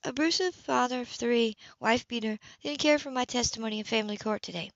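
A woman's voice: fairly high pitch, fairly quick, no echo, pitched above the performer's own voice, lightly acted. A woman reading the part of a wry, bold woman social worker with teasing banter in a romance audiobook. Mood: weary, matter-of-fact. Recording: dull lo-fi home recording.

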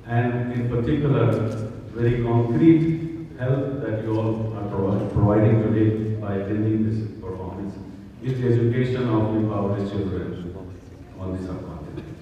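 An older man with a lowish voice makes a formal speech of welcome from a stage. He phrases things deliberately, pausing for emphasis. The recording is distant and muffled, with noise and heavy echo from the hall. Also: steady tone. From Indian subcontinent. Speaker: event host introducing a play. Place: theater stage, recorded from far off. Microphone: handheld mic through speakers, far camera. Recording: echoey, muffled, noisy hall from far off.

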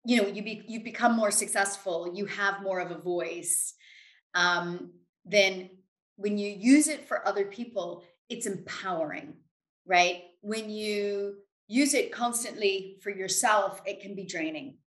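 A woman's voice: mid-range voice, a little slow. A middle-aged American woman giving emphatic, self-assured, conversational answers as an interview guest on a video call. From United States. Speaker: interview guest, finance expert. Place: indoors in a home room. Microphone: video call, computer at desk distance. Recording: roomy, echoing video call.

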